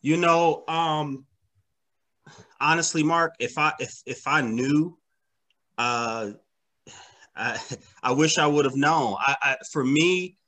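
A man's voice: fairly high pitch, pushed and bright. A man speaking as an interview guest in casual, slangy conversation, repeating words and feeling his way through sentences. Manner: halting, repeats words, slangy, often says "man" and "you know". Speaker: interview guest, comic book creator.

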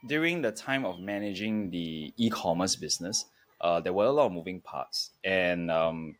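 A man speaking as an interview guest, reflecting in a halting way with pauses between short phrases. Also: deep voice, animated. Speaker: podcast guest, entrepreneur.

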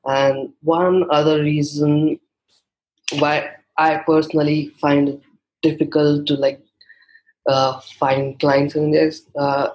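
A man's voice: on the high side, level tone, slow pace, a little mellow, low-quality recording. A young man from India, a native Hindi speaker, answering interview questions thoughtfully with many fillers and restarts. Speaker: guest. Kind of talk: conversational interview.

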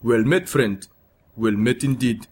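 A man voicing a gigantic, gentle Ethiopian swordsman in a story, measured and courtly. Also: medium pitch, slight background noise, close to an everyday voice. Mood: courteous. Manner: measured, courtly old-world speech.

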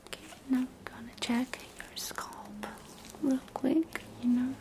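A woman whispering softly and closely as a doctor in an ASMR roleplay, giving gentle, reassuring bedside talk into a binaural mic. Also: high-pitched, steady tone, measured pace. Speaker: ASMR creator role-playing a doctor.

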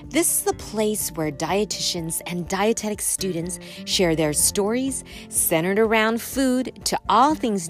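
Woman host reading an intro over music. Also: mid-range voice. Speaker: podcast host. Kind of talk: scripted podcast introduction.

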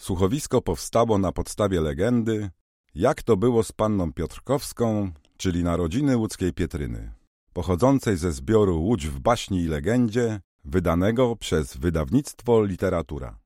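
Deep-voiced male announcer, dry studio sound. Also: lively intonation, moderate pace, darkish tone, no room sound. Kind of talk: scripted audio drama credits announcement.

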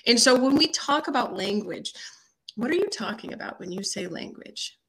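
A woman hosting a podcast, speaking in a gushing, effusive way with halting restarts. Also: on the high side, expressive, creaky, a bit bright, rough recording. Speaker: podcast host. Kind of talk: casual interview chat.

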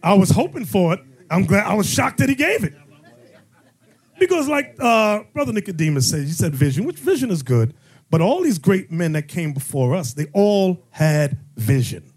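Husky preaching man, emphatic bursts. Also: high voice, sing-song, normal speed. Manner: call-and-response, rising emphatic bursts. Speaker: bishop teaching a congregation. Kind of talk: sermon-style teaching.

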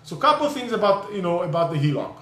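A man's voice: high-pitched, sing-song, a bit bright. A man whose first language is Hebrew hosts a casual online talk on real estate investing. He talks in a slightly raised voice, stops and restarts often, and fills gaps with "uh" and "um". The sound is roomy and echoey. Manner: halting, many fillers and restarts. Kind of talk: live online session, casual explanation. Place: California.

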